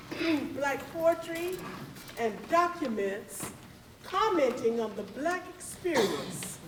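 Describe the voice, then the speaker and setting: A woman hosting a theatre evening, speaking formally and deliberately in measured, oratorical phrases. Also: sits high. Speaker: host of a readers theatre. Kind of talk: formal stage welcome address. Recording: roomy, distant mic, faint noise.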